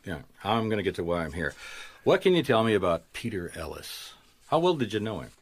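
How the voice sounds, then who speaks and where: An actor playing a shrewd, skeptical private detective in a radio mystery, with a blunt, wry, questioning manner. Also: male voice, on the low side, sing-song, fairly quick. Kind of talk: radio mystery drama acting.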